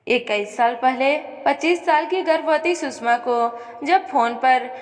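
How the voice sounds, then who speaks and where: A woman's voice: high voice, a little slow. A woman narrating a dramatic scripted story in a melodramatic manner, with long reverb on her voice. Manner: melodramatic, reads speaker names before dialogue.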